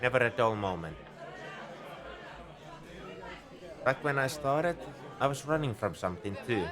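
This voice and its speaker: A man's voice: mid-range voice, expressive, fairly quick, lightly acted. A man playing a shrewd, easygoing bartender at a space outpost in a conversational, knowing ASMR roleplay monologue. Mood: reflective, musing. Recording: crowd chatter behind the voice. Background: bar crowd murmur.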